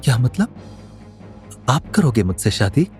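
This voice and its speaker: A man voicing an anxious, respectful young woman in an audio drama, halting and fearful. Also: mid-range voice, very animated, darkish tone, soft background music. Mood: startled. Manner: trembling, halting, choked speech.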